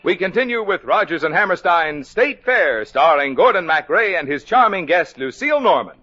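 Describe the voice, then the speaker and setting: A man's voice: fairly high pitch, big pitch swings, moderate pace, music in the background. A man reading scripted announcements in a formal, emphatic 1950s radio announcing style. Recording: dull vintage 1950s radio recording.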